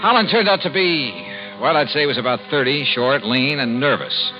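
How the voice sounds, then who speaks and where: A man's voice: mid-range voice, lively intonation, moderate pace, lightly acted. A male actor playing a shrewd, wry American insurance investigator in a vintage radio detective drama, narrating and talking in a clipped, hard-boiled way. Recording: dull old radio recording, loud music.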